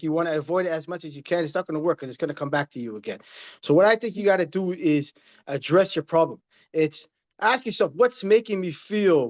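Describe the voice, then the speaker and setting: A man's voice: on the high side, highs cut off. A man in his thirties, a guest in a casual interview, talking earnestly and repeating his points like a motivational speaker. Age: 30s.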